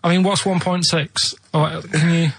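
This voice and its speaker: A man's voice: fairly high pitch, flat delivery, moderate pace, vocal fry, a bit bright. A man co-hosting a radio show in casual banter, rambling and argumentative. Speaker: radio show co-host and producer.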